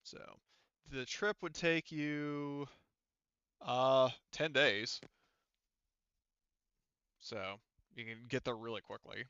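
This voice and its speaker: A man working as game master, narrating a tabletop roleplaying game in an informal, halting way as he thinks aloud. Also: mid-range voice, sharp tone, clean tone, no room sound, dull audio.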